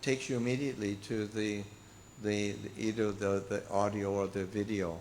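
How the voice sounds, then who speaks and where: An older man, a Buddhist monk, giving an informal talk and answering questions, pausing often with fillers and restarts. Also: on the low side, level tone, darkish tone, long reverb tail, a little hiss or hum. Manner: halting, many fillers and restarts.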